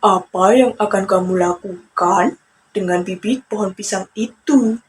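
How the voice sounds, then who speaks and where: Patient storybook turtle, read by a woman, unhurried and earnest, faint noise behind. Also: medium pitch, lightly acted. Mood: curious. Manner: earnest, gently scolding. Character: patient, careful, kindly male turtle friend. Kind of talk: children's fable storytelling.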